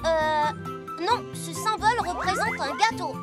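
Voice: very high-pitched, unhurried. An actor voicing an easygoing, food-loving little schoolboy in a dubbed children's cartoon. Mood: sheepish. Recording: loud music behind.

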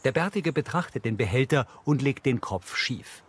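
A man's voice: mid-range voice, lively intonation, moderate pace, slight background noise. A man reading scripted audio description in a neutral, factual manner. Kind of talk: scripted narration.

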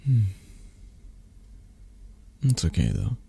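A man playing a possessive, tender mafia boss lover in intimate roleplay ASMR, murmuring softly and hesitantly with a breathy, gravelly voice. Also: on the low side, wildly swooping pitch, very slow, slight background noise, full character voice. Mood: tender, loving.

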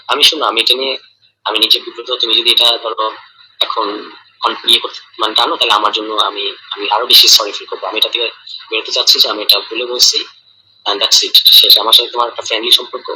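A man with a Bangladeshi accent in a private, casual phone call, speaking in a hesitant, halting and defensive way on a tinny recording. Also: medium pitch, bright, forceful tone, slight background noise. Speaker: caller in a private phone conversation.

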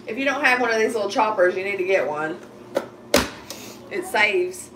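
A woman's voice: mid-range voice, expressive, moderate pace. A woman in her thirties with a head cold and a congested voice, chatting rambly as she cooks in her home kitchen. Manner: rambling, chatty, talks while chopping. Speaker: vlogger cooking at home. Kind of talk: casual cooking vlog chat. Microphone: phone camera set across the counter. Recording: distant phone mic with clear background noise.

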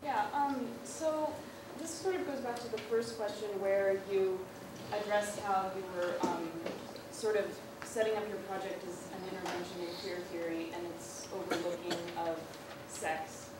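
A woman's voice: medium pitch, steady tone, moderate pace. A woman informally asking an academic question from the audience, tentatively circling her point. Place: large lecture room, from the audience. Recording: very echoey, loud noise, distant pickup.

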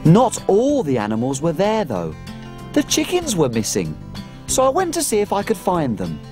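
A woman voicing a small cook who tells her farm adventure in lively, expressive storybook narration for children. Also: on the low side, moderate pace, over music. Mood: storytelling.

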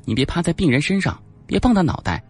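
A man voicing a sharp-tongued, teasing, jealous young policewoman in an audiobook. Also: fairly high pitch, big pitch swings, fast, husky, soft background music, voice pushed up. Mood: jealous scolding. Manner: teasing, bossy. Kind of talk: audiobook character acting.

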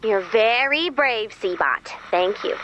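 A woman voicing a brisk, caring but easily exasperated mission guide in a video game, conversational and instructing. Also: on the high side, lively intonation, fairly bright tone, highs cut off, a little hiss or hum. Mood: soothing.

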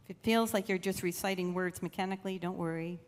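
A woman leading a guided meditation in a slow, gentle, soothing way with long pauses. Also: lowish voice, steady tone. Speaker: church meditation leader. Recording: long reverb of a large room.

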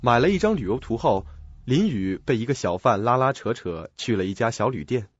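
A man's voice: medium pitch, sing-song, brisk, breathy edge, dry recording. A man narrating a radio drama in a scripted storytelling style. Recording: dull lo-fi audio, music behind.

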